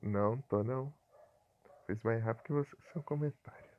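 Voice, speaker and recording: A man's voice: mid-range voice, normal speed, lo-fi sound, slight background noise. A Brazilian man from Paraná streaming a game live, chatting casually with viewers and chuckling often.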